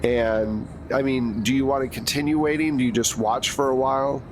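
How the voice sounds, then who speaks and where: Casual game master, noisy recording. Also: male voice, mid-range voice, steady tone, moderate pace. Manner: casual, loose narration with fillers. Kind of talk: running a tabletop horror game. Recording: noisy background hiss.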